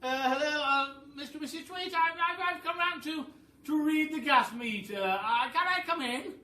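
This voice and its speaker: A man's voice: very high-pitched, normal speed, sharp tone, pitched above the performer's own voice. A man voicing a nice, polite gas meter reader in a children's storybook, calling out hesitantly. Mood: nervous politeness. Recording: echoey room, distant mic, faint noise.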